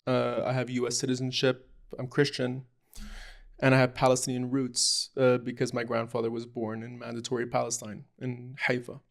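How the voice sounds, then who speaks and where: A young man from Lebanon talks about himself off the cuff, stopping often for ums and restarts. His voice has a gravelly, creaky edge. He speaks in a flat, even tone that barely rises or falls. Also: medium pitch, moderate pace. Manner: hesitant, rambling, many ums and restarts. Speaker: podcast host. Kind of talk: unscripted personal introduction.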